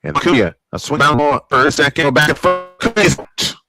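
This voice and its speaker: An older man doing old-school play-by-play baseball commentary as a fan broadcaster, calling pitches and counts and getting excited on close plays. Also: unhurried, vocal fry, over music. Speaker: play-by-play announcer.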